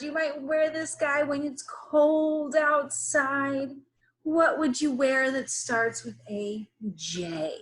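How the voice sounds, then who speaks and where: A woman teacher leading a reading lesson for young children, instructing in an encouraging way with pauses for them to repeat. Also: high voice, fairly bright tone. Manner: instructional, encouraging, pausing for repetition. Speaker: early-grades teacher. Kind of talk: slow read-aloud phonics lesson for young children.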